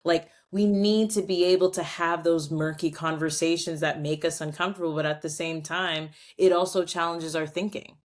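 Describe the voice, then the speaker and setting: Woman, podcast guest, lower voice, animated, chatty, quotes others in acted voices. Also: moderate pace, few pauses. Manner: animated, acts out quoted voices, many "like" fillers; reflective, long-winded explanations. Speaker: guest, culture strategist. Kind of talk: conversational podcast interview.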